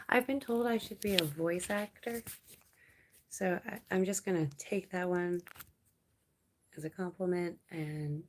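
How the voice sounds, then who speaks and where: A young woman from Canada hosting a late-night livestream, chatting with viewers in a relaxed, rambling way and laughing often. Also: fairly low pitch, creaky. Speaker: livestream host.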